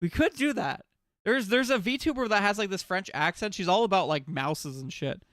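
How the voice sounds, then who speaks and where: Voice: medium pitch, animated, moderate pace, fairly bright tone, no echo, clean studio sound. A game streamer chatting casually and jokingly with viewers, playful and given to swearing. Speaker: game livestreamer.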